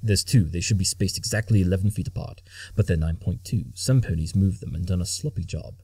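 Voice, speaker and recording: A man playing an arrogant, brilliant cartoon pony detective who talks in a clipped, condescending, deliberate way. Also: sits low, mellow, husky. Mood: deductive, intent. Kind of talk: amateur cartoon audio drama acting.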